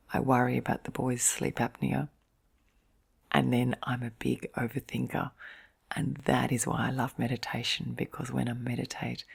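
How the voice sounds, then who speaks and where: A middle-aged woman in a soft, whispery, breathy voice, giving a gentle, reflective bedtime chat in an intimate ASMR-like style. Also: a bass voice. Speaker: host of relaxation and sleep channel.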